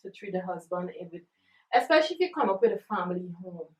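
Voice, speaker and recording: A woman's voice: lowish voice, expressive, fast talker. Earnest woman guest. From Guyana. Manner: earnest, explanatory, many fillers. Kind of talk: casual chat. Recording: roomy echo, distant mic.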